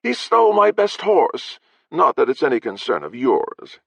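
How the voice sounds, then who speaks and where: A man's voice: medium pitch, huge pitch swings, unhurried, creaky, a bit bright, dry recording, pitched above the performer's own voice, heavily acted. A man voicing a haughty, contemptuous male lord with a grating, drawling, blasé voice. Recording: dry, slightly dull audio.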